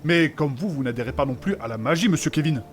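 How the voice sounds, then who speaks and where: An actor playing a formal, principled religious mage in an audio fantasy comedy, courteous and wordy in an old-fashioned way. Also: male voice, a little high, animated, fast talker, faint music behind, close to an everyday voice. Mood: sincere.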